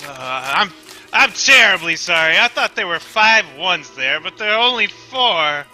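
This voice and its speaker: A man's voice: sits high, sing-song, moderate pace, bright, forceful tone, faint music behind, full character voice. A man reading the part of a timid, bookish bank teller in a live radio-play reading, hesitant and apologetic. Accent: American. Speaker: live script reader.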